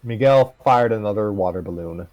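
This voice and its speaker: Man reading a story, low voice. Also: normal speed, darkish tone, no echo. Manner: casual reading with stumbles and asides. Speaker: story reader on a livestream. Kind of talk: reading a fan story aloud.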